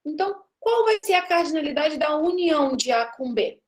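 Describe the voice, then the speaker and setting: Woman in her thirties, high voice, university lecturer, chatty classroom lecture, checks in with students. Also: lively intonation, normal speed. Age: 30s. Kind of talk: live classroom lecture on discrete math.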